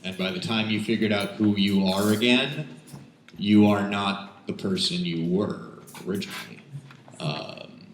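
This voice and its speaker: A man's voice: fairly low pitch, measured pace. A man performing spoken word poetry at an open mic, emphatic when reciting, with self-deprecating, rambling asides. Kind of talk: spoken word poetry reading with casual banter between pieces. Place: indoors, small cafe open mic. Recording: roomy live cafe recording with background noise.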